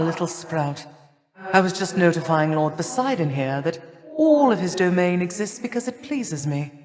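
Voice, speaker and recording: A woman's voice: sits low, big-room sound. A woman playing a stern, imperious elder goddess in a video game, speaking formal, archaic lines with haughty, cold disdain. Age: older. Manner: haughty, formal, archaic diction with measured scorn. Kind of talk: theatrical video game character acting.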